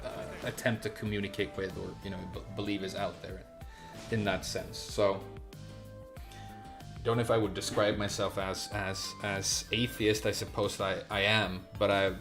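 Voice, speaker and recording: Low-voiced male streamer, halting and off-the-cuff. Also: little pitch movement, music in the background. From Sweden. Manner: halting, searching for words with repeated false starts. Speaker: live streamer. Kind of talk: casual unscripted livestream chat and game commentary.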